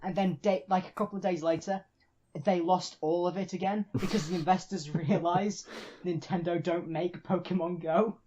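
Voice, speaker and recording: A man co-hosting a casual comic podcast, talking in a joking, halting way with frequent restarts. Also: sits high, fairly even intonation, dark tone, highs cut off, slight background noise. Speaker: podcast co-host.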